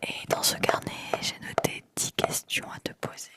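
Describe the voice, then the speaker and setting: A woman in her twenties whispering close to the mic in a chatty ASMR video, rambling and repeating trigger words softly. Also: normal speed. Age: 20s. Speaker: ASMR creator.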